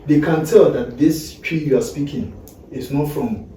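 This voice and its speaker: Male host, casual prompting interviewer, very dark tone, heavy room echo. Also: on the high side, measured pace. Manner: prompting, finishes the other's sentences. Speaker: host asking questions. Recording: very echoey room, distant mic, faint noise.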